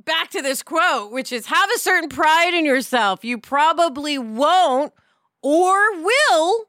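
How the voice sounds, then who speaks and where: A woman hosting a casual, reflective discussion in an expressive way, with animated asides. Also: high-pitched, slow, dry recording, studio quality. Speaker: podcast host.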